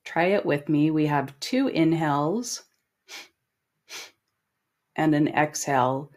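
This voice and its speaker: Slow, low-voiced woman guiding meditation. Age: middle-aged. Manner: calm, gentle, instructing with long pauses. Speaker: meditation guide. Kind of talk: guided body scan meditation.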